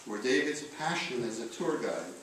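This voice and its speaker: A man reading a eulogy aloud in a solemn style, with measured, deliberate phrasing and pauses. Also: mid-range voice, animated, fairly bright tone. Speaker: eulogist reading letters at a memorial. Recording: echoey room, distant mic, faint noise.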